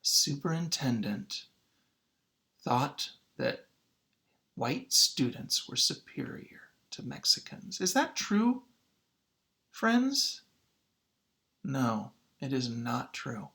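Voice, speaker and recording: A male teacher reads a story aloud to students with very lively intonation. His voice is bright and a little gravelly, and he phrases things emphatically, pausing for drama. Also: medium pitch. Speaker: teacher reading a picture book aloud to students. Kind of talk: expressive children's read-aloud.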